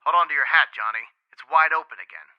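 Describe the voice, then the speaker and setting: A man playing a brisk, blunt and excitable police sergeant in the manner of 1950s radio detective drama. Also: a little high, smooth, lo-fi sound, lightly acted. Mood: urgent.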